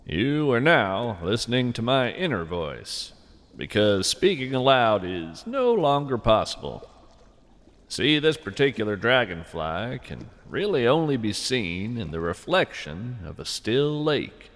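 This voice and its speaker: A man hosting a scripted podcast, narrating whimsical nature fiction and poetry in an earnest, deliberate, conversational way. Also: mid-range voice, big pitch swings, fairly bright tone, in a large hall.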